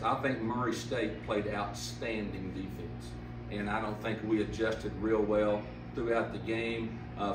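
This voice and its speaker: A man's voice: fairly low pitch, noisy background. An older man, a head coach answering press questions after a game in a plainspoken, emphatic way, seated at a table mic. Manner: plainspoken, emphatic, repeats for stress. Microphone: table mic on stand, camera some distance off. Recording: roomy, slightly distant mic pickup.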